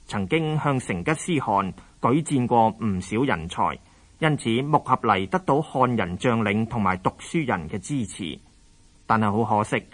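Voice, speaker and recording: A man's voice: medium pitch, fairly bright tone. A man with a Hong Kong Cantonese accent reading scripted historical narration as a radio narrator.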